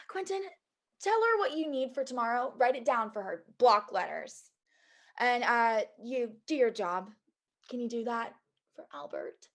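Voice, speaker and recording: A woman's voice: fairly high pitch, lively intonation, a little slow. A woman playing an exasperated, superstitious executive assistant in a comedy table read, emphatic, pleading and sardonic. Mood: curt. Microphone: video call.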